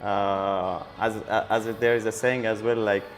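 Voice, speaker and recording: A man from Afghanistan with an Afghan accent, talking casually as an interview guest in halting phrases. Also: fairly low pitch, little pitch movement. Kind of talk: casual interview chat, switching languages.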